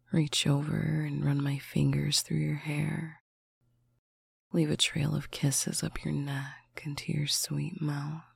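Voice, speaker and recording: A speaker in a slow, intimate roleplay, talking softly to a faraway partner on a bedtime call, often dropping into a whisper. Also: medium pitch, creaky, breathy edge. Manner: soft, affectionate, often whispered. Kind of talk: intimate roleplay monologue to the listener.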